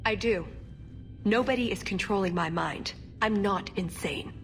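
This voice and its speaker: A woman's voice: mid-range voice, measured pace, faint music behind, lightly acted. A woman playing a wry, earnest young elven agent in a video game. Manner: flippant and wry, turning earnest.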